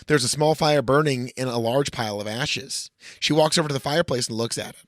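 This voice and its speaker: A man's voice: medium pitch, brisk, fairly bright tone, no echo, studio quality. A man hosting a podcast, casually reading a screenplay aloud with wry, profane asides. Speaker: podcast host.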